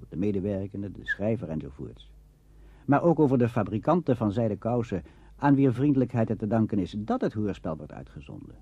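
A formal male radio presenter reads scripted documentary narration in a low voice with widely swinging intonation. The tone is slightly husky, and the sound is dry and dulled like an old broadcast. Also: warm tone, no room sound, lo-fi sound.

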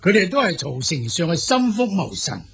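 Man acting a husky, high-pitched Han envoy. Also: very animated, exaggerated voice. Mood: admiring, grand. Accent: Cantonese. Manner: formal, emphatic, deliberate praise and veiled warnings. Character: smooth-talking boastful Han Chinese military envoy, adult man. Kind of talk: Cantonese audio drama acting.

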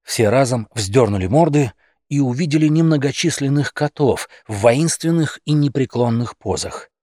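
A man narrating a humorous animal tale as an audiobook, in an expressive, theatrical storytelling manner. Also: mid-range voice, normal speed, no echo, clean studio sound.

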